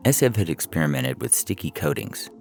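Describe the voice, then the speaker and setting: A man's voice: low voice, lively intonation, a little fast, gravelly, a little mellow. A man reading a humorous science fiction story as a scripted narration, with music playing behind him.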